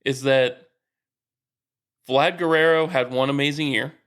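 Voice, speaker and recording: A man's voice: medium pitch, steady tone, measured pace, a bit bright, clean tone. A man co-hosting a sports podcast, chatting casually with slangy, profane fan talk.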